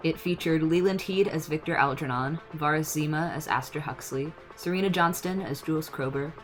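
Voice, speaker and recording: Woman reading credits, very low voice, plain, music behind. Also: fairly quick, low-quality recording. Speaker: credits announcer. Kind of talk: scripted reading. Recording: spoken over clear music.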